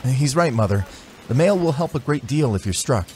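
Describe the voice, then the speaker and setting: An actor playing an earnest young soldier and dutiful son in an audiobook drama, a little hesitant in manner. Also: male voice, medium pitch, animated, moderate pace, a little mellow, slight background noise, natural voice. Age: young adult.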